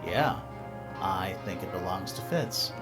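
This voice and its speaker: A polite detective from a mystery game who keeps asking probing questions. His pitch moves around a lot, and game music plays clearly behind him. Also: animated, slow. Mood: inquiring. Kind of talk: video game voice acting. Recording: game audio with music underneath.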